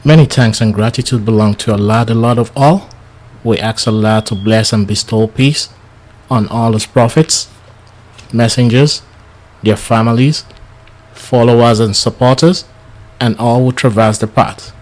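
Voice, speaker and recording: A man whose first language is Yoruba delivers a religious lecture in English, with a voice of middling pitch. He sounds a little tired and speaks earnestly. He often stumbles and starts his words over. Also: steady tone, darkish tone, low-quality recording. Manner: earnest, halting with restarts. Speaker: Islamic lecturer. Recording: studio lecture for radio/TV.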